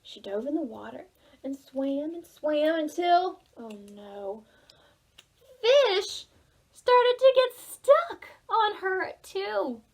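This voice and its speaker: A woman telling a story to preschoolers as a children's librarian, in a sing-song manner with drawn-out words. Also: extremely high pitch, very animated, a little mellow.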